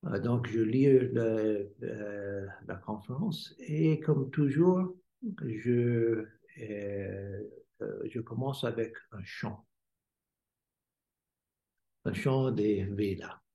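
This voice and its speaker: An American man, a native English speaker, reads a spiritual lecture in careful, hesitant French with many pauses. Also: fairly low pitch, creaky, warm tone. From United States. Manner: halting, many hesitations while reading. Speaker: swami giving a lecture. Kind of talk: read-aloud spiritual lecture. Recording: roomy echo.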